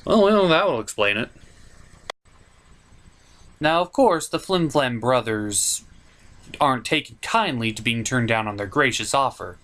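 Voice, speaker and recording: A man hosting a scripted cartoon review, speaking in a sarcastic, emphatic way with drawn-out stresses. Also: medium pitch, animated, a bit bright. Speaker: reviewer, show host.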